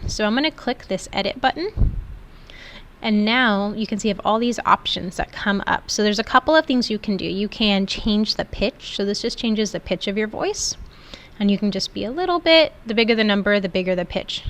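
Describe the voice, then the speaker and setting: A woman instructor giving an informal, step-by-step screen tutorial in an explanatory way. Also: medium pitch. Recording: clearly noisy background.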